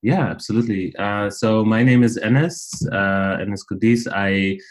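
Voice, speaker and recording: Low-voiced raised man, thoughtful. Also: unhurried. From Netherlands. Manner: frequent "uh" fillers, steady and thoughtful. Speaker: podcast guest. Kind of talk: casual interview chat. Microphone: remote call.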